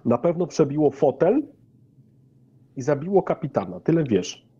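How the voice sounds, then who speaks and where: A male game master with a very dark, soft and somewhat husky voice. He explains the game in a hesitant way, through a dull, muffled microphone. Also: on the high side, big pitch swings, normal speed. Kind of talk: narrating a tabletop role-playing game.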